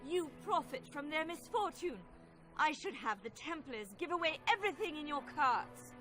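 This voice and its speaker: A woman's voice: high voice, expressive, moderate pace, over music. A woman playing a righteous priestess in a video game, stern, formal and accusing. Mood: righteous indignation. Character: righteous, indignant priestess defending desperate villagers. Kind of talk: video game cutscene acting.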